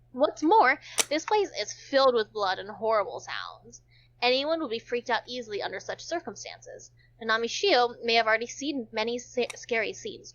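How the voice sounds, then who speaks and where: A woman with a fairly high voice narrates a visual novel as an amateur reader. She now and then trips on a word and goes back over it. The sound is dull and lo-fi. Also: fairly bright tone. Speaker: narrator in a group read-along.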